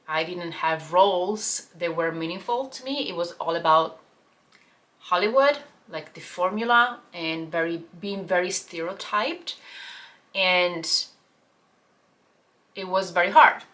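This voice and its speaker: Italian-accented woman with a bright voice. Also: fairly low pitch, measured pace. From Italy. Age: 30s. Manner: earnest, open, with many fillers and restarts. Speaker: life and business coach. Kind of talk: personal story told to camera.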